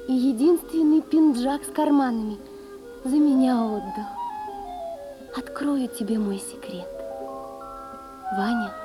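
A woman playing a grateful, gentle magic snake princess who speaks in a courtly, tender manner. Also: high voice. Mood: hushed. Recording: music behind the voice.

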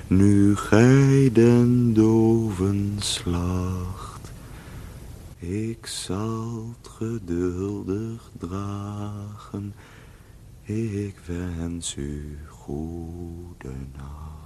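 An actor playing a lonely lovesick young poet in a radio play, speaking rhymed verse in a measured, mournful way. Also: male voice, on the low side, steady tone, warm tone, slight background noise. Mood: lovelorn. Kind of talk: 1970s radio drama verse recitation.

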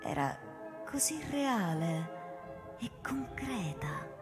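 A woman's voice: medium pitch, sing-song, very slow. A woman narrating a radio drama as dramatic scripted storytelling. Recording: reverberant, music underneath.

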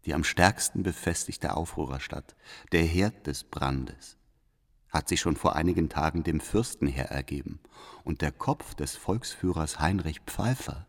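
An actor playing a devout, calculating spy, voicing his letter in formal, deferential, measured phrases in a radio play. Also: extremely low pitch, darkish tone, exaggerated voice. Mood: reporting, deferential. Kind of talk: letter read as radio drama monologue. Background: faint music.

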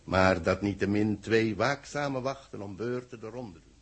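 A man acting a worried official in a 1960s Dutch radio play, speaking in a measured, formal way with a gravelly, husky voice. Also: lowish voice. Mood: formal dictation. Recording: muffled-edged vintage 1960s radio recording.

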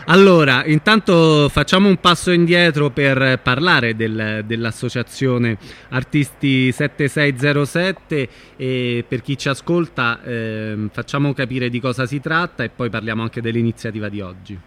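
A man's voice: mid-range voice, unhurried, hardly any pauses, fairly bright tone. A man hosting a live radio interview, addressing guests and listeners. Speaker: radio host.

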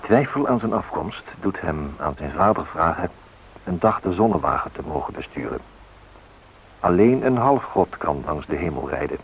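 A man's voice: low voice, lively intonation, moderate pace, creaky, low-quality recording. A man acting as a reflective, brooding narrator in a scripted radio drama, on a hissy old recording. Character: brooding, reflective male narrator reciting history and myth.

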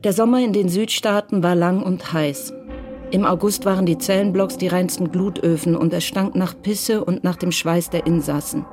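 A woman reading scripted literary narration for a radio crime drama in a measured, matter-of-fact way. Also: fairly low pitch, steady tone, darkish tone, faint music behind.

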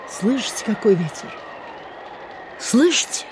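An actress playing a meek, kindly little old landlady in a radio play, gentle and sighing. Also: fairly high pitch, very animated, measured pace, slight background noise. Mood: hospitable. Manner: gentle, sighing, pitying, old-fashioned diminutives. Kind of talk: Soviet radio play acting.

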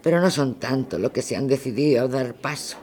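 Woman acting a low-voiced, solemn protest leader. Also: lively intonation, a little fast, darkish tone. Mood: earnest. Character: weary, grieving leader of women's protest. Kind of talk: radio drama acting.

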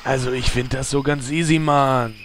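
A man's voice: mid-range voice, steady tone, normal speed, heavy background noise, lightly acted. A man playing an easygoing troll rogue with a casual, slangy way of talking. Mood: laid-back. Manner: casual, ends sentences with "Mann". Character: laid-back male troll rogue with knives.

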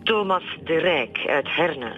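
An actress with a Flemish accent playing a confident, teasing forensic expert through a telephone filter in a radio drama. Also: female voice, deep voice, expressive, slow. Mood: matter-of-fact, teasing. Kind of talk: naturalistic radio drama acting. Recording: dull, narrow telephone-like sound.

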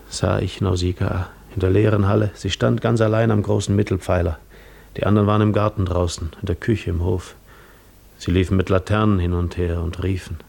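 An actor playing a brooding male wanderer in a 1950s German radio drama, gravely recounting a painful farewell in measured first person. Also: sits low, a little mellow. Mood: somber, remembering.

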